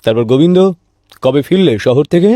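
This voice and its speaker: A man's voice: on the high side, lively intonation, fairly quick, dark tone, no echo, close to an everyday voice. A man playing an ageing, principled sweet-shop owner and stern father in a cartoon tale, speaking earnestly and emphatically. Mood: genial. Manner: earnest, indignant when crossed, emphatic repeated questioning. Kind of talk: cartoon fairy-tale voice acting.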